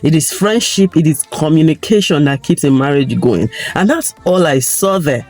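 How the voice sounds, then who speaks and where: A Nigerian woman with a croaky, tired voice, giving her opinions in a chatty vlog and pushing her points with rhetorical questions. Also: low voice, expressive, normal speed, a little mellow, faint music behind. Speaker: vlogger.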